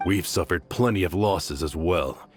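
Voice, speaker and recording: An actor playing a bitter, hardened mercenary in a video game, earnest and emphatic. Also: male voice, low-pitched, a little slow, soft background music. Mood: determined. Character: bitter, hardened male mercenary loyal to fallen boss. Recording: faint ambient noise behind.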